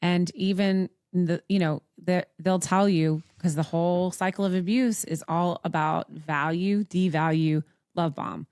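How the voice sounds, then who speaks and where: A woman's voice: lowish voice, steady tone, unhurried, few pauses, darkish tone, no echo. Blunt Canadian woman, dry close sound. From Montreal, Canada. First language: English. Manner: blunt, sweary, emphatic. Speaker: podcast host. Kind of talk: casual conversational advice talk.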